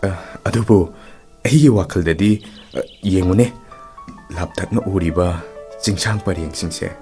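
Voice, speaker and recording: An actor playing an ardent, apologetic young lover in a romantic radio play, coaxing and earnest. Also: male voice, sits low, rapid-fire, mellow, faint music behind, close to an everyday voice. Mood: tender, reflective.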